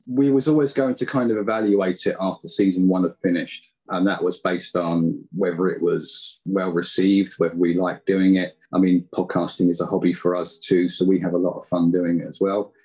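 British bloke chatting about his hobby, really low husky voice, modest. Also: steady tone, normal speed, few pauses, mellow, low-quality recording. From Britain. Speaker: podcast creator being interviewed. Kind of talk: casual interview chat.

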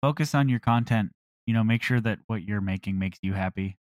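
A man's voice: on the low side, a little fast, dry recording, clean studio sound. A man giving casual advice as a comedy creator in an interview.

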